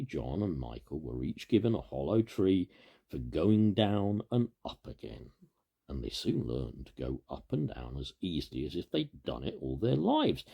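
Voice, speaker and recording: A man with a very low, creaky voice reads a children's story at an unhurried pace. His pitch swings widely as he moves between informal asides and animated, husky storytelling. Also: lo-fi sound. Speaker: storybook reader.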